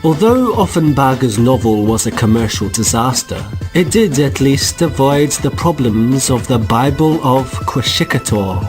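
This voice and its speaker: A man giving wry, deadpan, mock-formal comic narration for a science-fiction radio play. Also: medium pitch, a little slow, hardly any pauses. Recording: music behind narration.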